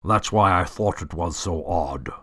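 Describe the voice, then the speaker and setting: An actor playing a polite but determined gentleman heir in an audio drama, courteous and firm. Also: deep voice, a little slow, dry recording, studio quality. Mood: polite, puzzled.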